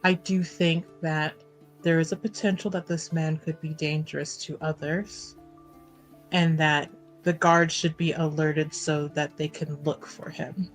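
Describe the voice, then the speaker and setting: A woman playing a poised, courteous fantasy adventurer with a formal, measured, polite manner. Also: low voice, level tone, soft background music, voice pushed down. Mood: courteous, composed. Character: poised, courteous adventurer woman named Ade, keeping composure.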